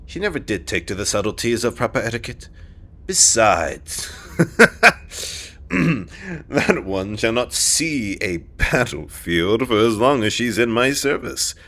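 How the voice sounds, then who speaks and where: A man's voice: medium pitch, very animated, slow pace, fairly bright tone, heavily acted. A man playing a haughty, dismissive king in a fantasy audio drama, speaking in a pompous, formal, condescending way. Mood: smug, condescending.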